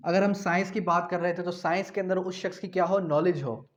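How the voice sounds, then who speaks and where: A man's voice: high voice, fairly even intonation, brisk. A man with a Pakistani accent giving an explanatory law lecture, mixing in English terms, repeating phrases and posing questions that he then answers. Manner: didactic, repeats phrases and asks himself questions. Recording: dull, lo-fi sound.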